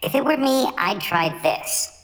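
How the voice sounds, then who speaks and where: A woman's voice: high-pitched, lively intonation, measured pace. A woman playing a brutally honest, sassy and exasperated magic mirror in a comic radio play. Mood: sly. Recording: long reverb with music.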